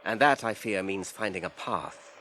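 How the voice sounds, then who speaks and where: An actor playing an earnest, firm, burdened hobbit in a radio drama, speaking in a measured, commanding way. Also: male voice, on the low side, pushed and bright. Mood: stern, calm. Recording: old radio drama, faint hiss.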